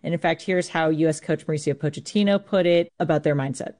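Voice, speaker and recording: A woman's voice: deep voice, fast, dark tone. An American woman working as a sports correspondent, explaining things informally in a conversational live radio report.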